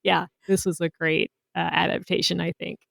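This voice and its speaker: A woman's voice: lowish voice, flat delivery, normal speed, creaky, a bit bright, no echo. A woman podcast host in a casual, conversational chat.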